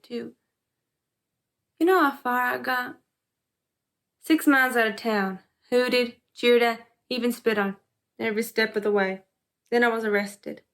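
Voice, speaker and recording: Woman acting disillusioned former crusader, higher-pitched, wry, rueful, deliberate theatrical monologue. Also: fairly bright tone. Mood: bitter, rueful. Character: disillusioned female former reformer, wry, worldly, confessing. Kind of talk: dramatic stage monologue.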